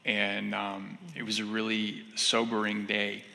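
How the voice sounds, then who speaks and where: A man's voice: lowish voice, completely flat delivery, measured pace, gravelly, bright, forceful tone, slight background noise. A man in his thirties with an American accent, giving informal personal testimony as a seminar co-presenter. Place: on stage in an auditorium, seated at a high table. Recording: echoey hall, stage sound.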